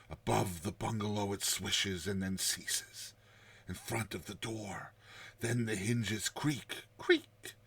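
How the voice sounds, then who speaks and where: A man voicing a terrified man telling his nightmare in a dramatized story, with tense pauses, hushed passages and explosive outbursts. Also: fairly low pitch, husky, voice pushed down, full character voice. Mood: hushed terror. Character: terrified man recounting his nightmare of a lurking giant serpent.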